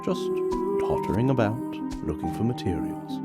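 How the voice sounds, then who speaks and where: A man playing a gentle, whimsical storyteller with a soft, musing, fond manner, in an animated short. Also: on the low side, moderate pace, over loud music, lightly acted.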